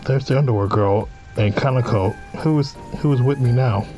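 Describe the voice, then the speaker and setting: A man's voice: medium pitch, normal speed, mellow. Casual male let's player with music behind. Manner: informal asides between read-out lines. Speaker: let's play host. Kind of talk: reading visual novel dialogue aloud with casual reactions. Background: game music.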